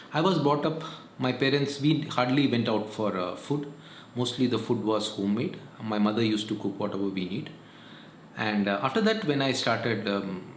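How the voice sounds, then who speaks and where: Man, 40s, earnest and repetitive, casual vlog talk, mid pitch, roomy echo. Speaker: vlogger. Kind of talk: casual advice monologue. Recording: echoey room, faint noise.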